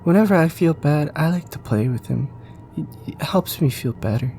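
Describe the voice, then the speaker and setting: A man's voice: on the high side, lively intonation, normal speed, warm tone, slight background noise, voice pushed up. A man voicing a small, kind-hearted, shy child in an audio drama, speaking hesitantly and stumbling over words. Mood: gentle, earnest. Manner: hesitant, stammering and repeating words. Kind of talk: audio drama character dialogue.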